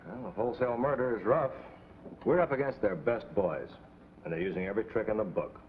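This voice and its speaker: An actor playing a sober, worried undercover agent, earnest and terse, in 1950s TV drama style. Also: male voice, lowish voice, fairly quick, close to an everyday voice. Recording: tinny, noisy 1950s TV soundtrack.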